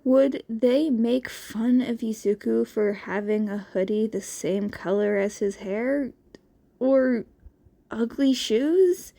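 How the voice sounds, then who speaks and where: A woman with a fairly high voice narrates a story as an amateur audiobook reader. Her reading is expressive and very unhurried. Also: gravelly.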